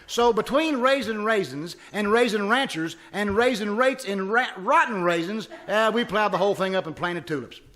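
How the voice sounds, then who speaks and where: A high, sharp-voiced blowhard of a husband played by a man from an old-time radio comedy. He is folksy and cocky, and he blusters through tongue-twisting tall tales. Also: lively intonation, normal speed, hardly any pauses, slight background noise. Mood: boastful. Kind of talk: old-time radio comedy acting before a live audience. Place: live stage performance at a winery.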